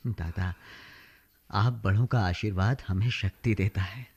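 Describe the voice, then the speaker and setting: A man's voice: lowish voice, unhurried, darkish tone, slightly rough, highs cut off. An actor playing a humble, principled young village father in a Hindi radio drama, deferential and hesitant. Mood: respectful.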